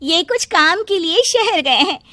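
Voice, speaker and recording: A woman voicing a worried but bold village mother in a cartoon story, emphatic and expressive. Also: extremely high pitch, measured pace, very noisy.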